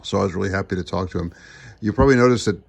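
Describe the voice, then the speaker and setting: A man's voice: deep voice, very fast, vocal fry. A man talking casually and off the cuff as a radio hobbyist, in a rambling, conversational way. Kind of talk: casual off-the-cuff vlog talk.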